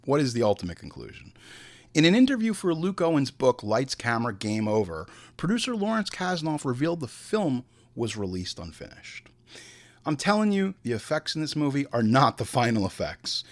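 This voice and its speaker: A man's voice: mid-range voice, sing-song, fairly quick. A man hosting a movie podcast, delivering crude, profane comedic film commentary and theatrically acting out imagined dialogue. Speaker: host of a movie review podcast. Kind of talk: comedic film commentary mixing read facts with ranting asides.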